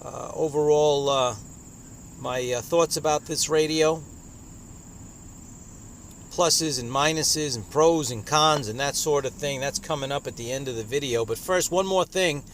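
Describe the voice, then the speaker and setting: A man giving a casual hands-on product review outdoors, speaking in a halting, hesitant way. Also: on the high side, fairly bright tone. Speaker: radio reviewer. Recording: outdoor noise, insects and traffic.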